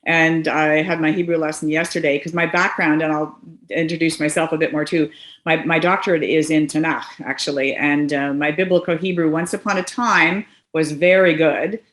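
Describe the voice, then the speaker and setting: Canadian woman in her 60s on a video call, kinda low voice, roomy echoey sound, laughs a lot. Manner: lively, laughs often, quick asides. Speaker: guest. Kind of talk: casual conversation. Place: home living room. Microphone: webcam on a video call.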